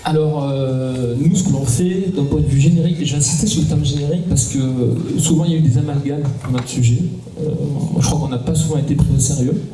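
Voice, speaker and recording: A man's voice: on the high side, fast, few pauses, warm tone. A man in his forties from southern France, a startup cofounder explaining his company on a conference panel, with many hesitant fillers. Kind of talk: round-table conversation. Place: conference hall. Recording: echoey hall, distant mic, faint noise.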